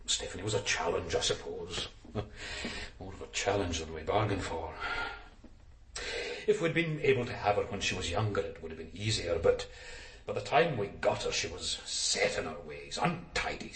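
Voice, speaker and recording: A man's voice: low voice, big pitch swings, normal speed, fairly bright tone, roomy sound. An actor playing a middle-aged, stiff and evasive adoptive father in a naturalistic radio drama. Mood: resigned. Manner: prim, evasive, self-justifying.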